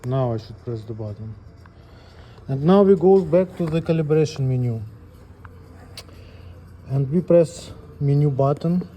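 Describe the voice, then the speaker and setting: Man, slightly high pitch, very soft dark timbre, halting hands-on instruction, faint background noise. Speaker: presenter of a scale calibration tutorial. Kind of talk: unscripted step-by-step technical demonstration.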